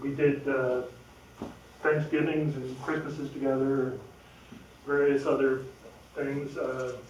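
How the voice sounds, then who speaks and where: A man's voice: medium pitch, level tone, slow pace, darkish tone, slight background noise. A man sharing memories of a family friend at a memorial, hesitant and full of fillers, chuckling at times. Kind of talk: informal spoken remembrance. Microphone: no microphone, heard from a distance. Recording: echoey room, speaker far from the mic.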